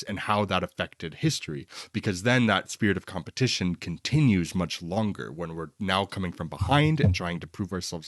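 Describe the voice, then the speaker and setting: A man's voice: sits low, fairly bright tone, dry recording, clean studio sound. A man co-hosting a film podcast, chatting casually in an enthusiastic, rambling way. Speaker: podcast co-host reviewing films.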